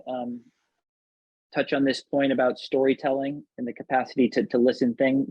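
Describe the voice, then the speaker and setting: A man with a husky, mid-pitched voice moderates a panel. He is polite and halting, often restarting as he goes. The sound is slightly muffled. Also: no room sound.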